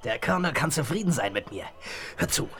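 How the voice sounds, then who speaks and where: An actor playing a scheming, boastful male spy in a 1970s western radio drama, excitable and conspiratorial. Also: on the high side, brisk, a little hiss or hum. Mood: gloating. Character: scheming boastful tramp spy posing as a clerk. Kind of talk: 1970s German audio drama acting.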